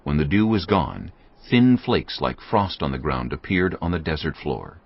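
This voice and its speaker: Deep, gravelly male narrator. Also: very animated, normal speed. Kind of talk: scripted narration of dramatized scripture. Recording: dull band-limited audio with music.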